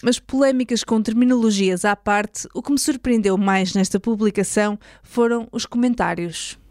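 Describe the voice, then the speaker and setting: A woman's voice: medium pitch, moderate pace, a little mellow, no room sound, clean studio sound. A woman with a European Portuguese accent hosting a podcast, reading a scripted documentary narration. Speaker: podcast host.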